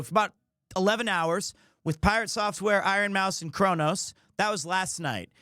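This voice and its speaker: A man hosting a livestream, talking casually to his audience in a rambling, jokey, expressive way. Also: high voice, dry recording, studio quality. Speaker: livestreamer and host. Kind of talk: casual chat to a stream audience.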